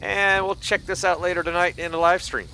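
A man's voice: sits high, level tone, fairly quick, bright, forceful tone, low-quality recording. A man giving casual game commentary as host of a gaming video, with game ambience behind him. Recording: clear background noise.

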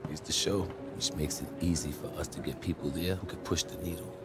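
An American actor playing a middle-aged basketball fixer in a video game, earnest and persuasive, with a measured, streetwise manner. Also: male voice, a bass voice, steady tone. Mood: matter-of-fact. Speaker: basketball agent and former college recruiter. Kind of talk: video game cutscene acting. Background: music.

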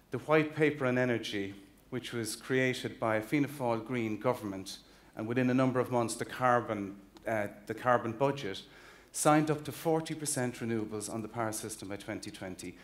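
A man's voice: medium pitch, normal speed. A man in his fifties with an Irish accent, giving an earnest, emphatic conference keynote on a large convention hall stage, walking as he talks and often restarting phrases. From Ireland. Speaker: chief executive giving a keynote. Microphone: stage mic, walking the stage with a slide clicker.

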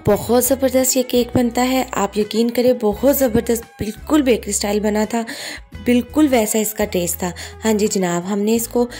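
A woman hosting a home cooking video, guiding each step in a steady voice-over and often repeating "ye dekhiye ji". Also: on the high side, normal speed, soft background music. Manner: warm, reassuring, repeats "ye dekhiye ji" and "ji janab". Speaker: cooking channel host.

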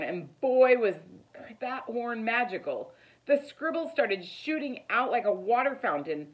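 Expressive, slow-paced woman reading a storybook. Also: mid-range voice, muffled recording. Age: middle-aged. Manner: expressive, animated, deliberate storytelling. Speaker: teacher reading aloud. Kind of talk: children's picture book read-aloud. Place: living room, indoors.

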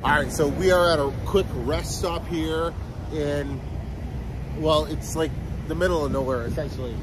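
A man in his thirties hosting a casual road-trip vlog, chatty and excitable, talking straight to his viewers from a car and roadside rest stops on a handheld camera. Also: on the high side, moderate pace, over music. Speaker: car vlogger. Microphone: phone or camera held at arm's length. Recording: noisy road and car background.

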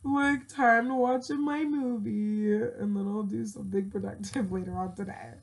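Gravelly twenty-year-old woman rambling. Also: mid-range voice, steady tone, moderate pace. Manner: rambling, profane, thinks aloud. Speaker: vlogger. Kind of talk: casual chat to camera. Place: small bedroom, indoors. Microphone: earphone mic, laptop webcam.

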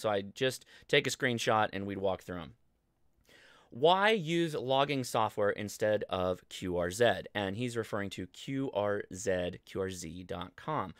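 Clear-voiced American man, casual explainer. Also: mid-range voice, a bit bright, no echo, studio quality. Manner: informal, conversational, thinking aloud. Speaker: host of a ham radio show. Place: indoor home studio.

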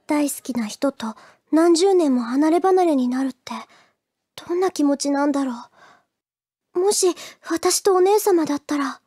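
A woman's voice: sits high, normal speed, warm tone, no room sound, clean studio sound, lightly acted. An actress playing an earnest, easily flustered teenage schoolgirl in an anime audio drama, with a hesitant, stammering manner. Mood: earnest, wistful. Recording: music behind the voice. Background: background music.

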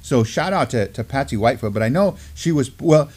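Man, middle-aged, American accent, medium pitch, informal news host, enthusiastic, conversational. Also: animated, fairly quick, darkish tone, breathy edge. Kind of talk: casual news discussion. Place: indoor studio at a table.

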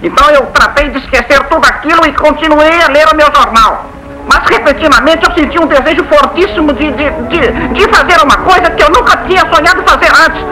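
A man's voice: very high-pitched, a little fast, background noise. A man voicing an anxious, stammering male cartoon duck in a Brazilian dub, confessing and pleading like a nervous patient. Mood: anxious recounting. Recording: music behind the voice.

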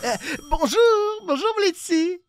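A man voicing a refined, smitten owl-demon prince in a French animated fan dub, theatrical, polite and flustered. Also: extremely high pitch, a little slow. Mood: flustered, hopeful. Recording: music behind the voice.

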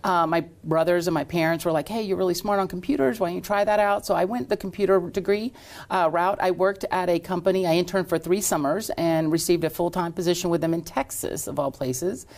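A middle-aged woman with a somewhat low voice, chatting through her life story. She owns salons and answers loosely, with frequent ums and small restarts. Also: steady tone, a little fast. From Lexington, Kentucky. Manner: warm storytelling with frequent fillers. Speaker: salon owner and entrepreneur. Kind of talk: casual interview answers.

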